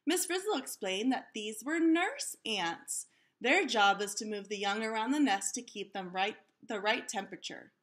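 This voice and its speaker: Animated woman reading a children's storybook aloud. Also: on the high side. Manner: animated, pauses to question and explain to young listeners.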